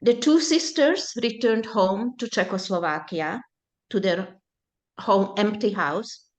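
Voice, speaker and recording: A woman in her seventies, Hungarian by first language, speaks at an unhurried pace. She recounts a personal testimony in a measured, deliberate way. Her voice sits at a middle pitch. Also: animated. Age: 70s. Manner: slow, deliberate storytelling. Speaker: presenter at a support group.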